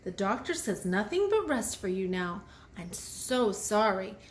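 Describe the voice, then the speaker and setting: Woman as a caring, slow-spoken mother bee. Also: medium pitch, very animated, echoey room, a little hiss or hum. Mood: gentle, sorry. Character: mother bee, caring, apologetic, gently firm.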